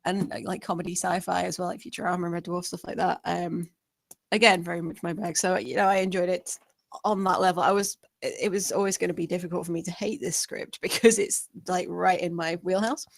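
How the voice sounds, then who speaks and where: A woman giving casual feedback as a panelist, friendly, rambling and softening her points. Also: fairly low pitch, steady tone, brisk, gravelly, no room sound. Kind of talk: casual chat.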